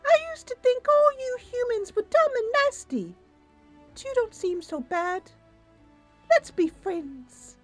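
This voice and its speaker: A woman voicing a tiny, playful, petulant female river nixie with a squeaky, girlish voice and a coy, exclamatory manner. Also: lively intonation, measured pace, soft background music. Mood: friendly.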